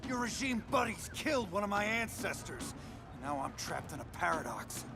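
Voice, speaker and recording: Vengeful video game supervillain: high-pitched, gravelly, taunting and intense. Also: male voice, big pitch swings, moderate pace, music in the background, heavily acted. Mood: bitter, angry. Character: vengeful, embittered male time-travelling supervillain. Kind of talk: video game cutscene acting.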